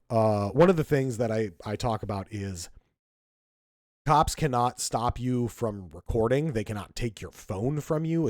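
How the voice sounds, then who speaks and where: Animated, indignant man on a podcast. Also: fairly low pitch, no room sound, studio quality. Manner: emphatic, indignant, lots of restarts. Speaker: podcast co-host. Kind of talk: casual political commentary.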